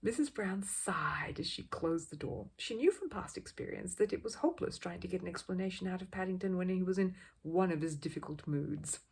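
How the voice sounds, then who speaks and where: Very husky, expressive woman storyteller. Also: lowish voice, a little fast, hardly any pauses. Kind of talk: expressive children's audiobook narration.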